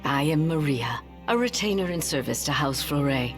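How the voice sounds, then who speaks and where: A woman's voice: sits low, lively intonation, moderate pace. A woman playing a gracious, devoted elderly royal retainer in a video game, speaking in a formal, old-fashioned, reverent way. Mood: awed. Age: older. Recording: game music behind the voice.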